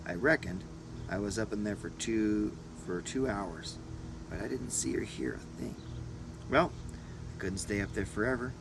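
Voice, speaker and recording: A man narrating a first-person adventure story as an audiobook reader, in short deliberate phrases. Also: lowish voice, vocal fry, noisy background.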